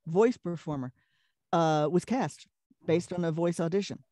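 A middle-aged woman with a Brooklyn accent and a deeper voice, telling lively anecdotes in a casual interview and laughing often. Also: a little slow, no echo, studio quality. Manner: animated storyteller, laughs often. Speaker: voice actress, guest.

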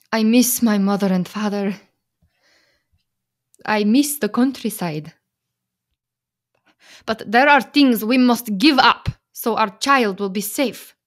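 An actress playing a firm, protective Slovak immigrant wife in a radio play, speaking with a Slovak accent in a measured, pleading, emphatic way. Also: female voice, medium pitch, close to an everyday voice. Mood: wistful. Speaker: actor.

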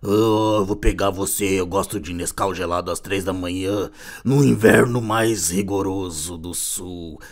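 A menacing game demon with a Brazilian accent. The voice drops very low and drags slowly, in mock-villain style. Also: male voice. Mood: menacing, silly. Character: deep, slow, menacing demon monster, mockingly imitated.

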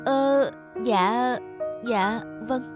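A woman playing a worried, polite young working mother in an animated cartoon. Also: on the high side, drawn-out words. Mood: worried, pleading. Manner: polite, deferential. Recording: music under the voice.

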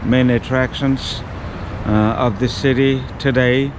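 A man in his fifties talks slowly and haltingly, full of ums and uhs, as he walks city streets narrating a travel vlog. His voice is picked up by a handheld action camera at arm's length, with clear street and traffic noise all around him. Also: medium pitch, fairly even intonation. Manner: slow, hesitant, many ums and uhs. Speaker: travel vlogger. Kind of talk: walking-tour vlog narration. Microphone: action camera held at arm's length.

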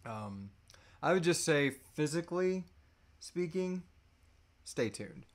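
A man's voice: on the high side, animated, slow. Casual, playful man hosting a livestream. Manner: jokey, playful. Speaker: host of a live Q&A show. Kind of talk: casual off-the-cuff livestream chat. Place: small room at home, indoors.

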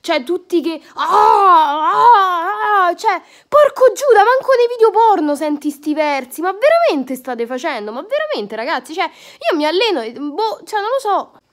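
A woman's voice: extremely high pitch, sing-song, a little slow, hardly any pauses. A young woman, ranting sarcastically to her phone camera from her bed with crude slang and lots of fillers. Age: young adult. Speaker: vlogger. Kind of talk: casual comedic rant to camera. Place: indoors, bedroom, lying on bed. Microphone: phone camera at arm's length.

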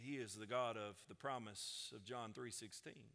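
A man in his forties, a senior pastor, preaching a sermon from a church stage, swinging between loud proclamation and hushed intensity. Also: on the low side, moderate pace, vocal fry. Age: 40s. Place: large church stage with piano and guitars. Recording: sanctuary with long reverb.

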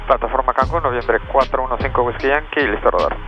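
A male pilot with a mid-pitched voice speaks into a headset in a small jet cockpit. He gives clipped radio calls and short cockpit remarks, with music underneath. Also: quick pace, highs cut off. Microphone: aviation headset boom mic.

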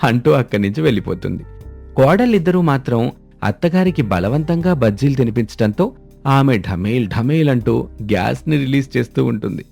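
A man's voice: mid-range voice, lively intonation, moderate pace, creaky, a little mellow, soft background music. A man narrating a scripted story as its storyteller.

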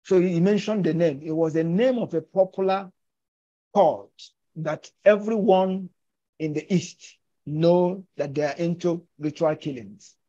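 An older man speaks in an emphatic, persuasive way, driving home each point. His voice sits high for a man. It comes through a muffled, dull-sounding video call. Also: a little slow, warm tone. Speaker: filmmaker and actor discussing his film. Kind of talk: conversational storytelling. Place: indoors at home, virtual backdrop.

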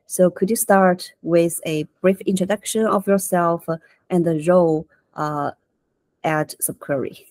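Young woman interviewer on a video call, lowish mellow voice, dry sound, asks her questions with uhs. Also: dry recording. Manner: scripted questions with "uh" fillers. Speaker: interview host. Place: indoor office room.

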